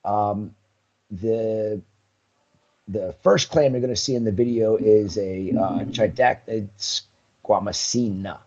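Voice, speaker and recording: A man's voice: fairly low pitch, slow pace, dark tone, highs cut off. A man, a guest on a live talk stream, telling rambling stories full of ums over a video call. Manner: rambling storyteller, many ums, laughs often. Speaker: guest, coral business owner. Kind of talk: casual live-stream chat.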